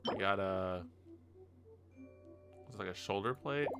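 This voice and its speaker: Low-voiced man commenting on gameplay. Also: takes it slowly, gravelly, music in the background. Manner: slangy, reacts aloud to the game. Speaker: gaming video host. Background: game soundtrack.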